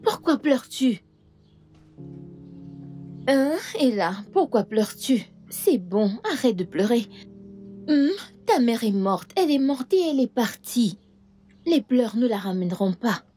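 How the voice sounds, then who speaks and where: A woman's voice: on the high side, expressive, unhurried, long pauses, mellow, soft background music. A woman playing a bossy aunt who scolds her niece, in a dubbed film. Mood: impatient. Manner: scolding, impatient.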